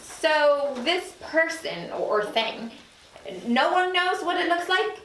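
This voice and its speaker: A woman's voice: extremely high pitch, animated, slow, exaggerated voice. A woman playing an incredulous, insistent newly dead woman of forty at a drama table read. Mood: sceptical. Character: indignant, disbelieving forty-year-old woman newly dead. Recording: roomy echo, distant mic, faint noise.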